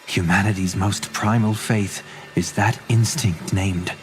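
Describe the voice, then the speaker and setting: An actor playing a stern, stoic warrior philosopher in a video game. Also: male voice, lowish voice, fairly even intonation, measured pace, close to an everyday voice. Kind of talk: dramatic game voice acting.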